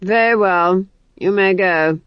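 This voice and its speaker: A woman's voice: medium pitch, slow, clean tone, no echo, dull audio, lightly acted. A woman voicing a cold, uneasy male duke in a storybook, clipped and restrained under his wife's rule.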